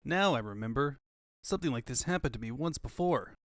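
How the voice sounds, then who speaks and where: A man's voice: mid-range voice, lively intonation, fairly quick, fairly bright tone, dry recording, studio quality, lightly acted. A man playing a brash, wisecracking young hedgehog hero in a comic fandub, with a cocky, slangy way of talking. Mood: casual recalling. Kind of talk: comic-book fandub cartoon acting.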